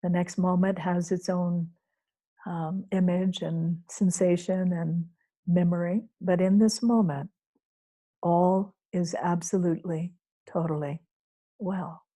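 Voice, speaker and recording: A woman teacher leading a guided meditation in a soothing, unhurried way, leaving long pauses between phrases. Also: on the low side, fairly even intonation, mellow. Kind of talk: guided meditation, spoken off the cuff to a group.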